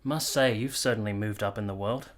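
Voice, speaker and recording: A man's voice: lowish voice, fairly quick, natural voice. A man playing a courteous, smitten army captain from the 1870s in a radio drama, speaking politely and formally. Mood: friendly. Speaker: actor and narrator in a radio play. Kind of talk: radio drama acting, partly first-person narration.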